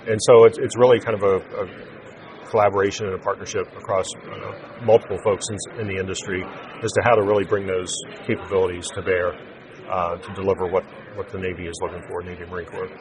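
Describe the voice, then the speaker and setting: A man giving measured, unscripted corporate explanations as an interview guest. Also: deep voice, little pitch movement, crowd noise, rough recording. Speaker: interview guest, telecom sales executive. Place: busy naval trade show event.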